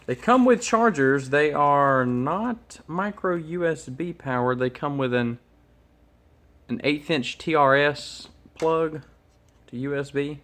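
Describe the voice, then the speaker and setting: A man's voice: mid-range voice, a bit bright. A man giving casual, unscripted unboxing commentary as host, hesitant and thinking aloud as he goes. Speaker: host of an electronics unboxing channel. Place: indoors at a workbench.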